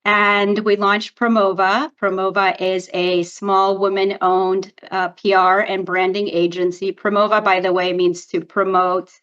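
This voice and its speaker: A woman's voice: mid-range voice, little pitch movement, a little slow, hardly any pauses, a bit bright, smooth. Upbeat woman from Romania, slightly muffled. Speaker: guest, PR agency founder. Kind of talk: interview answers. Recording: slightly dull lo-fi audio.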